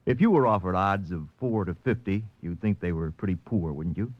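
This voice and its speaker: A man in the role of a fifties network radio announcer, earnest and deliberate. His low voice moves expressively in pitch. The old broadcast sound is muffled and very dry. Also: expressive, over loud music. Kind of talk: 1950s radio announcing and charity appeal.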